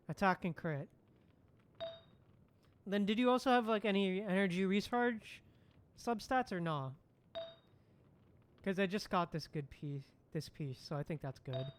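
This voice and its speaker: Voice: mid-range voice, measured pace, long pauses, slight background noise. A livestream host chatting casually while gaming, often repeating phrases in reply to chat. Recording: music playing behind the voice.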